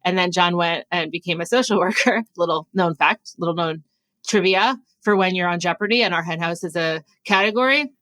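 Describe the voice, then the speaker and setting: A woman's voice: lowish voice, fairly quick, fairly bright tone, no echo. A woman hosting a podcast, introducing the show in a conversational way. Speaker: podcast host.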